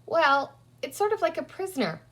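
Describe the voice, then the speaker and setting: A woman's voice: high-pitched, sing-song, moderate pace, fairly bright tone, pitched above the performer's own voice. A woman voicing a bossy, resourceful young girl in a children's story, talking emphatically as she reasons aloud. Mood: scheming. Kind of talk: children's storybook character reading.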